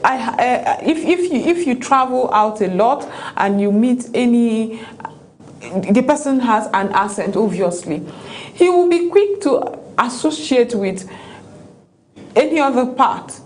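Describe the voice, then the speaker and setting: A woman's voice: a little high, unhurried. Woman on a tv panel, lots of uhs and restarts, gets fired up, faint noise behind. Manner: animated, many fillers and restarts. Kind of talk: panel discussion.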